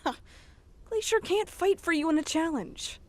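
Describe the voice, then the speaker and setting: Female actor, cartoon young dragon, high bright voice, slow pace, animated pitch, blunt, forceful. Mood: challenging. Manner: pointed, challenging. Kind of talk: animated fan dub acting.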